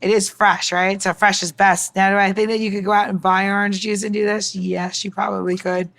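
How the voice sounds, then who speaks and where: A woman's voice: mid-range voice, flat delivery, brisk, gravelly, fairly bright tone. A woman casually talking through a cocktail recipe as co-host, chatty and rambling with playful asides. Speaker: co-host and bartender.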